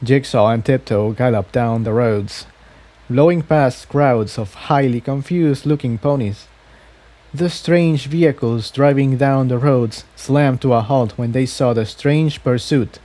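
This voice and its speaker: Male story reader with a mellow, relaxed tone, reading scripted prose with small stumbles. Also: mid-range voice, a little slow. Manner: stumbles and repeats phrases while reading. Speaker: fan fiction reader.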